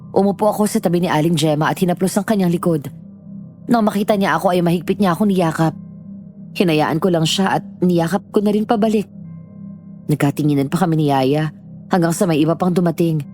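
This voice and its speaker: Low, mellow female story narrator. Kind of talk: scripted first-person horror story narration.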